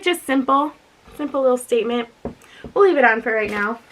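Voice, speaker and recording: A woman with a slightly raspy voice, chatting casually and thinking aloud as she presents an unboxing video with giggly asides. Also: on the high side, fairly bright tone, poor audio. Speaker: unboxing and review vlogger.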